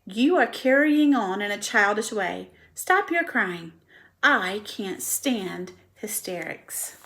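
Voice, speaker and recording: A woman voicing a wise female spider who speaks calmly and firmly. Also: medium pitch. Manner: calm, firm and brisk.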